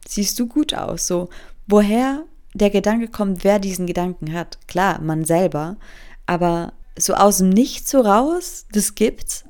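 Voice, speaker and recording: Low-voiced gravelly young woman rambling. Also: a little mellow. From Germany. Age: 20s. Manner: rambling, self-mocking, drifts off topic; mixes in English phrases. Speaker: podcast host. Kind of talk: casual solo podcast monologue.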